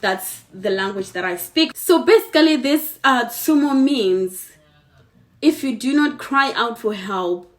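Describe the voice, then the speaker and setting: A woman's voice: a little high, animated, a little slow. Zimbabwean woman chatting casually, emphatic. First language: Shona. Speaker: vlogger. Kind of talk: casual chat to camera.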